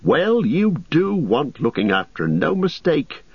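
A man voicing a fussy, excitable middle-aged innkeeper who frets loudly in a raised voice. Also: slightly rough, no room sound, dull audio, exaggerated voice. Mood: flustered. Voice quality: excitable, high and loud, quick to fret.

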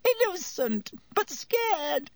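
Shrill, squealing cowardly man. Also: big pitch swings, slow, no room sound, heavily acted. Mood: tearful, scared. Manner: panting, breathless, whining. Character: cowardly, frightened adult male traitor, shrill and pleading. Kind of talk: audiobook character voice.

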